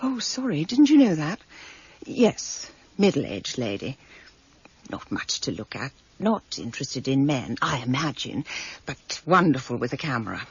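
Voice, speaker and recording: Chatty gossipy bookshop woman, radio play, really breathy husky voice, slightly dull recording. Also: very deep voice, sing-song, a little slow, heavily acted. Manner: gossipy, fussy, digressive. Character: chatty middle-aged woman bookshop owner, gossipy and genteel. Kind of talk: 1990s radio drama acting.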